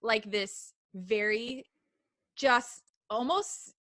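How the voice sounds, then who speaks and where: A woman co-host reads a fan story aloud on a live podcast, pausing for laughing asides and chatter with her co-hosts. Her voice is bright and a little tense, recorded dry and close with no room sound. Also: fairly high pitch, dry recording. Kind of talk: live podcast reading and casual chat.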